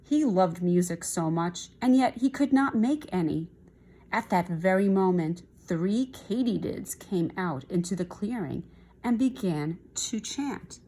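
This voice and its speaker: Woman, slightly low pitch, expressive storybook narration, chatty asides, aimed at children. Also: unhurried, husky. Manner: chatty asides to young listeners. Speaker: storybook reader.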